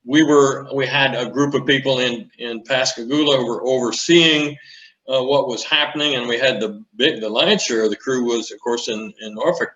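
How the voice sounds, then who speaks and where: A man in his eighties reminiscing in an interview, speaking in a measured, deliberate way. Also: medium pitch, a bit bright, dull audio. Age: 80s. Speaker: interview guest, retired naval commanding officer. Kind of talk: conversational reminiscence. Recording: echoey room sound.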